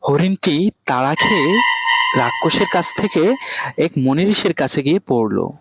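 A man's voice: fairly high pitch, expressive, unhurried, very noisy. A man narrating a cartoon fable as a scripted storyteller.

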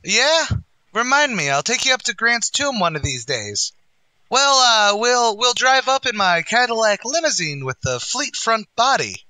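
A man's voice: very high-pitched, very animated, a little slow, bright, forceful tone, dry recording, exaggerated voice, rough recording. A man playing a boastful, uneducated tavern manager in a radio comedy, with folksy, ungrammatical wise-guy patter. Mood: boastful, bluffing. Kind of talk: old-time radio comedy acting.

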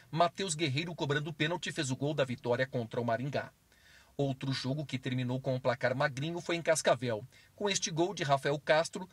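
A man narrates match results in an even, brisk newsreader delivery. His voice is husky and sits a little high for a man, and the recording is dry and close, with no room around it. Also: no room sound. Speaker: sports news narrator. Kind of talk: scripted news voice-over.

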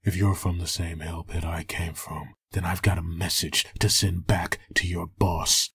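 Man playing a brooding undead soldier, comic dub, low, somber, halting, breathy rasp. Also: flat delivery, fairly bright tone, exaggerated voice. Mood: menacing. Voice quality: very husky, breathy. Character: brooding, heartbroken dead soldier turned hellspawn.

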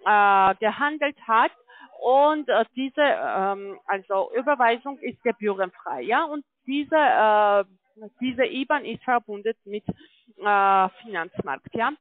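A non-native German-speaking woman with a foreign accent giving a telephone sales pitch as a call-centre agent, often checking in as she goes. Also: slow, dry recording. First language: not German. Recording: muffled, tinny phone line.

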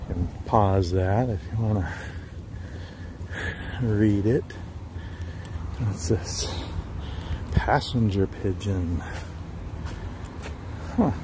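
Middle aged midwest guy doing a folksy outdoor vlog, kinda low voice, lots of noise behind him. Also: very slow, long pauses. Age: middle-aged, 50s. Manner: folksy, rambling, easygoing. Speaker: vlogger, truck driver. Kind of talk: casual outdoor vlog chat. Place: outdoors at a highway rest area. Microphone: handheld phone or camera at arm's length. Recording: outdoor handheld recording with clear background noise.

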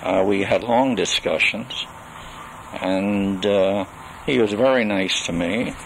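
An older man recording a spoken memoir on cassette, reminiscing in a deliberate, halting way with long pauses. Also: on the low side, fairly bright tone. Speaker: storyteller recalling his life and legal practice. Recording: noisy 1980s home cassette recording.

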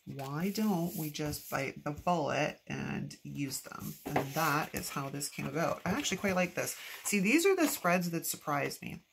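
A woman's voice: sits low, lively intonation, unhurried, gravelly, few pauses. A woman with a stuffy, congested nose from allergies, chatting casually to herself and her viewers while she decorates a planner at a craft table. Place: at a craft table, filmed from overhead. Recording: noticeable background noise.

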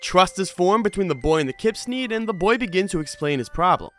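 A man's voice: sits high, lively intonation, fairly quick, fairly bright tone, soft background music. A man hosting a video review, giving scripted commentary in an informal storytelling manner. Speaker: host of a horror video review channel. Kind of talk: scripted commentary with casual asides.

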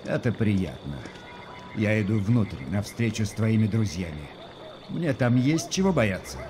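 Низковатый, спокойный голос хладнокровного спецагента. Он роняет короткие сухие реплики с едким сарказмом. Игровая запись, за голосом ясно слышен шумный фон. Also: male voice, lowish voice, big pitch swings, unhurried. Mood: cool, sarcastic. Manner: cool, laconic, sarcastic. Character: calm, dry-witted male special agent. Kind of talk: Russian video game dub. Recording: game audio with noisy backdrop.

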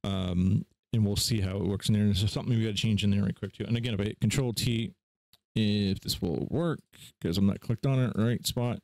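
Low-voiced man giving a casual tutorial. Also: fairly even intonation, a little fast, gravelly, a little mellow, no room sound. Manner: informal, offhand. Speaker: tutorial host. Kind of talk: casual screen-share software tutorial.

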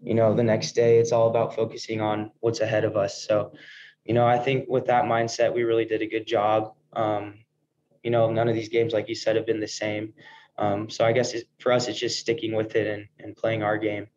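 A man's voice: lowish voice, monotone, a little fast, a crowd in the background. A young American man in his early twenties, a college ballplayer answering post-game questions on a video call. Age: early 20s. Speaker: college baseball player. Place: indoor press room before a backdrop. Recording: dull, lo-fi video call audio.

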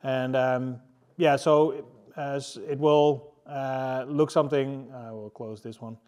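A man in his thirties giving a casual tech talk to a seated audience in a large room, halting often with fillers and restarts. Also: medium pitch, fairly even intonation, darkish tone. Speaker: engineering manager presenting.

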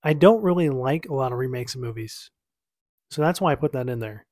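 A relaxed man in his thirties talking from his lamp-lit home office. He wanders and corrects himself in an easygoing, chatty way. The sound is dry and close to the mic. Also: mid-range voice, fairly quick, dry recording, soft background music. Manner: rambling, self-correcting, easygoing. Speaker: YouTuber reacting to his own video. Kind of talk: casual reaction commentary.

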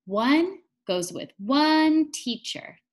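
A young woman reading aloud to small children in an encouraging, sing-song teacher's manner, guiding them along deliberately. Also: high voice, expressive. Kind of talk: interactive children's read-aloud lesson.

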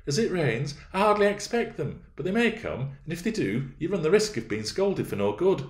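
A man's voice: a little high, very animated, normal speed, reverberant, voice pushed up. A man voicing a haughty, easily irritated teenage girl with a peevish, scolding manner in an audiobook. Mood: cautious. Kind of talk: audiobook character voice.

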